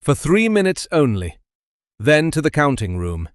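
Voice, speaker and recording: Casting: male narrator as unflappable gentleman detective, precise, formal and commanding, storybook delivery, dry close sound. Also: fairly high pitch, huge pitch swings, unhurried, no room sound. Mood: hushed instruction.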